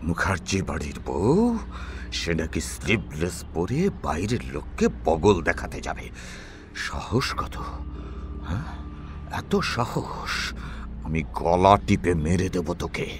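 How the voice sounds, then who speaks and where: A man's voice: low voice, sing-song, takes it slowly, background noise, full character voice. A man acting a jealous, proud and angry husband in an audio drama, bullying and contemptuous in manner. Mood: muttering menace.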